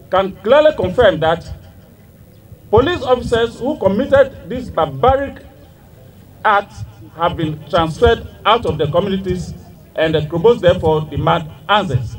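An older Ghanaian man reads a formal statement at an outdoor press conference. His voice is high for a man and swings widely in pitch. It sounds somewhat distant from the microphone. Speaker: pressure group spokesman. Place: outdoors under canopy at a crowded gathering. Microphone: handheld microphone.

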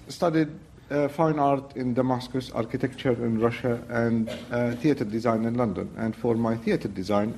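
A man's voice: medium pitch, vocal fry, darkish tone. Hesitant Syrian man, some background noise. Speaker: artist presenting his work. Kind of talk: informal illustrated talk. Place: public event on a stage.

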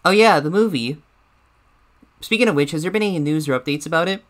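A man's voice: fairly high pitch, quick pace, a bit bright, lightly acted. A man voicing an eager, deferential cartoon shadow bear sidekick in hesitant, improvised banter. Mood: curious, amused. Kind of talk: improvised character reaction banter.

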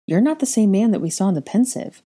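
A woman playing a protective, quick-tempered young mother in an audiobook, speaking earnestly and insistently. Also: medium pitch, sing-song, dark tone, voice pushed up. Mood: calm, serious. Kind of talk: acted audiobook dialogue.

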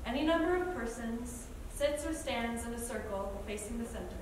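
A woman acting in a staged reading as a gentle guide who gives deliberate, instructive meditation directions. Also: fairly high pitch, expressive, natural voice. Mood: calm, instructive. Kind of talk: live theatre reading, guiding a group meditation. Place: large indoor theatre stage. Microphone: far camera in audience. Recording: echoey theatre, distant, noisy.

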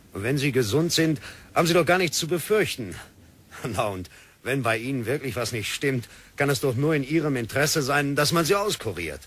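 A dutiful colonial police sergeant, played by a man in a vintage radio play, official and coaxing. His pitch swings widely, and a faint hiss sits behind him. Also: a bit bright, close to an everyday voice. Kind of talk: 1960s radio drama acting.

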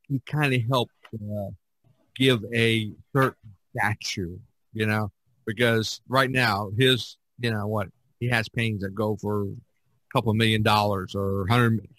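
A man hosting a podcast in casual chat, speaking haltingly and repeating his phrases. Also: medium pitch, fairly bright tone, no room sound, low-quality recording. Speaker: podcast host.

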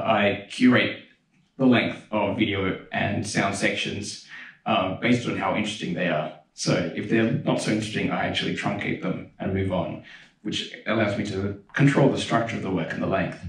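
Young man, low voice, casual lecture full of fillers, roomy hall, mic at a distance. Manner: relaxed, chatty, with frequent fillers and restarts. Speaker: pianist giving a keynote talk. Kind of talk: conference lecture with video examples. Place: large dark concert hall. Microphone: handheld mic.

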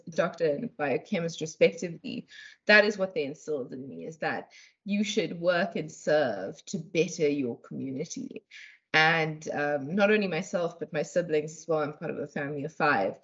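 A woman's voice: sits low, fairly bright tone, slightly rough. A young South African woman with a South African accent, a guest on a video call interview, speaking articulately and laughing easily. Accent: South African English. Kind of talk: conversational interview answers. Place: home room, indoors. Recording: dull lo-fi video call audio.

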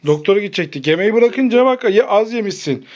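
A woman's voice: medium pitch, expressive, fast talker, a little mellow, muffled recording. Woman chatting playfully, repeating short exclamations. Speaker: parent and host of a children's play vlog. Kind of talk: casual play-acting chat with a child.

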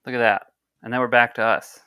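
A man's voice: medium pitch, flat delivery, a little fast, pushed and bright, clear, resonant tone, dry recording, clean studio sound. A man in his thirties hosting a podcast over a video call on a headset mic, chatting loosely and reading news and sponsor copy with small slips. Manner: loose, rambling, reads aloud with small slips. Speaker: podcast host. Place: home room on a video call.

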